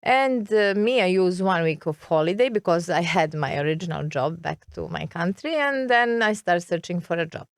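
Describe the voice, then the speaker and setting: A woman's voice: lowish voice, lively intonation, normal speed, few pauses, no echo, studio quality. A middle-aged woman from Serbia with a Serbian accent, a guest in an interview, talking hesitantly and searching for words. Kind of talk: interview conversation.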